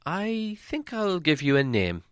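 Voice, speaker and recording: A man plays a small hobbit adventurer from a storybook, anxious yet plucky. The voice sits high and bright, earnest and plaintive, recorded close and dry. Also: huge pitch swings, a little slow, clear, resonant tone, dry recording, full character voice. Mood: quiet resolve. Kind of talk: audiobook character voice.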